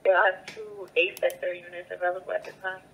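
A woman's voice: lowish voice, level tone, brisk, creaky, a bit bright. A woman working as a police dispatcher, talking over a two-way radio. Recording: noisy, narrow police scanner radio.

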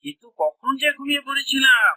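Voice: high-pitched, brisk, bright, forceful tone, dry recording. A performer voicing a sly fox in a children's cartoon, anxious and pleading, with an emphatic, exclaiming delivery. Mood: frightened, pleading.

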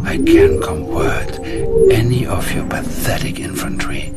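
An actor playing a menacing, gloating mind-control villain in a video game, with an ominous, commanding manner. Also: male voice, unhurried, loud music behind the voice, close to an everyday voice. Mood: smug menace.

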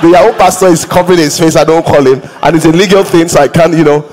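A man in his thirties with a Nigerian English accent, preaching a lively sermon on a church stage, acting out quoted voices and sometimes breaking into song or shouts. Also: a little high, fast talker, rough recording. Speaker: pastor.

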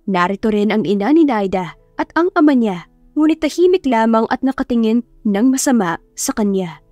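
A woman's voice: on the high side, lively intonation, normal speed, warm tone, no room sound. A woman narrating a scripted romance audiobook in a dramatic storytelling style. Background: faint music.

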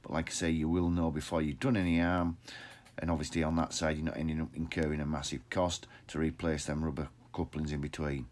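A man's voice: very deep voice, little pitch movement, fairly quick, darkish tone. A middle-aged man in a workshop, explaining machines plainly into a phone held close below his face, repeating words and restarting often. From England. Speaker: pressure washer business owner. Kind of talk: informal how-to explainer. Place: indoor workshop with equipment shelves. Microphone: phone camera held close below the face.

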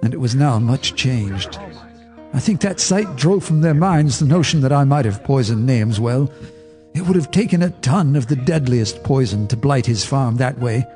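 Brief: man narrating a horror radio play, measured and grave, mid pitch, faint music under. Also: expressive, darkish tone. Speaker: narrator, first-person character.

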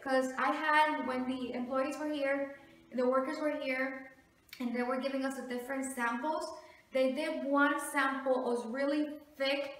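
High-voiced woman in a very echoey house. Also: a bit bright. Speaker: home renovation vlogger. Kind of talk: casual home tour talk to camera. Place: large two-storey house hallway and stair landing. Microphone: camera mic a few metres away. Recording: echoey hallway, distant camera microphone.

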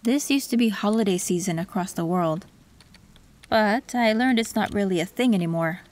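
A woman playing a soft-spoken, wistful tech girl. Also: medium pitch, normal speed, natural voice. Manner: soft, soothing, reflective with small laughs. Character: gentle young woman tech fixer, wistful. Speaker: voice actor. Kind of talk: audio roleplay.

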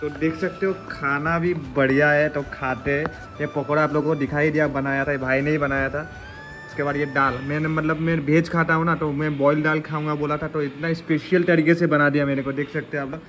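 A young man talking to his phone camera in a casual vlog at someone's home, chatty and a little hoarse from a cold. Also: on the high side, flat delivery, brisk, fairly bright tone. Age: young adult. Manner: chatty, many fillers. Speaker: travel vlogger. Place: indoors at a home. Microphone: phone camera held at arm's length. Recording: music playing over the talk.

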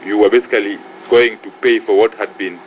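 A man's voice: on the low side, steady tone, fairly quick, slight background noise, rough recording. A man chairing a parliamentary committee hearing, pressing a witness with formal questions. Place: committee room.

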